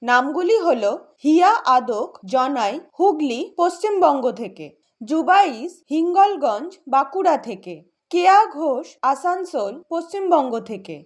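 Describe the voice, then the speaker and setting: A woman's voice: high voice, expressive, a little slow. A woman reading out scripted announcements as a show's announcer.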